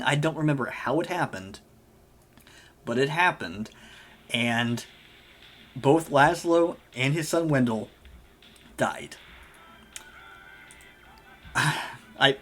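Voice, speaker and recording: A man casually narrating his life-sim game as a gaming video host, rambling with many fillers and self-corrections, his voice hoarse and going. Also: mid-range voice, expressive, takes it slowly, long pauses, a bit bright. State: losing his voice. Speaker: gaming YouTuber.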